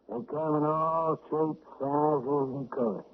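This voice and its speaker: Stern old country sheriff, drawling, probing, 1970s radio play, tinny old broadcast, faint hiss. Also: male voice, on the high side, slow. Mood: suspicious. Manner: drawling, folksy, probing questions. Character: older rural male sheriff, shrewd and stern. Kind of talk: 1970s radio drama acting.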